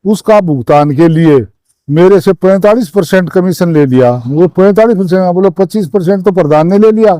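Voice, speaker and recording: A man's voice: high voice, mellow, clear, resonant tone, no room sound. A man giving a spoken account in an interview, recounting conversations by quoting both sides.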